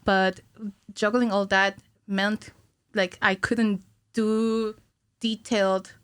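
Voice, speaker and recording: A woman's voice: medium pitch, level tone, slow pace, clear, resonant tone, dry recording. A woman in her thirties talking informally as a podcast guest, thinking aloud in short bursts. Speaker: podcast guest, cinematic animator. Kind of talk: casual interview chat. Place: small indoor podcast studio. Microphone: studio mic on a stand, headphones.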